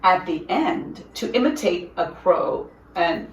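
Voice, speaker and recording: A woman reading a children's story aloud in an expressive storyteller's style, with deliberate, dramatic phrasing for young listeners. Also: on the low side, a little hiss or hum. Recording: roomy echo, distant from microphone.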